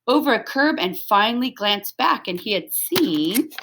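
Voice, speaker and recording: A woman's voice: fairly high pitch, lively intonation, unhurried, background noise. A woman reading a children's story aloud as scripted narration, expressively, with dramatic emphasis. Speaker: storybook reader.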